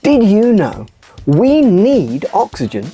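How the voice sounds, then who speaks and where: A woman voicing an excitable, playful puppet news presenter on a children's show, with a theatrical, expressive delivery. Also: mid-range voice, slow pace, faint music behind. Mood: upbeat, explanatory.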